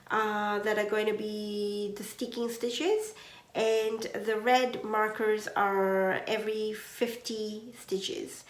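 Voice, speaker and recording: A woman hosting a knitting podcast from home, chatting slowly with many ums, her voice a little congested from allergies. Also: medium pitch, roomy sound. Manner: slow, gentle, with many ums and uhs. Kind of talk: casual chat.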